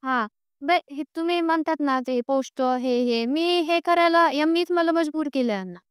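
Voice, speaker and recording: Young woman, very high voice, aggrieved and pleading, insistent, recorded phone call, dry sound. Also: moderate pace, no room sound. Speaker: caller with a personal grievance. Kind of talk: emotional private phone conversation.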